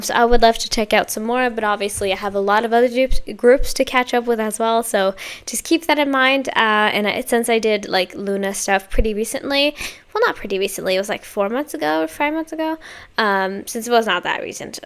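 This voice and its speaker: A woman's voice: a little high, a bit bright, clean tone. A young woman hosting a reaction video, sounding tired with a slightly worn voice, rambling casually with many fillers and restarts.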